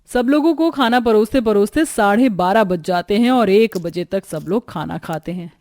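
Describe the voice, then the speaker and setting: A woman's voice: medium pitch, moderate pace, a little mellow, dry recording. A woman reading scripted narration for a cartoon family drama. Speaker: story narrator.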